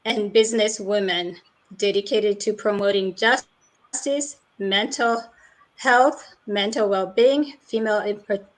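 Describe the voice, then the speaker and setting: A woman's voice: mid-range voice, poor audio. A woman hosting an online panel over a video call, introducing guests hesitantly with many fillers. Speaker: host.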